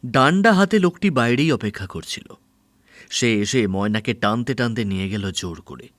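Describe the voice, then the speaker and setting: A man's voice: mid-range voice, animated, normal speed, a bit bright, no room sound. A man narrating a detective audio story in a dramatic, scripted storytelling style. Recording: music behind the voice.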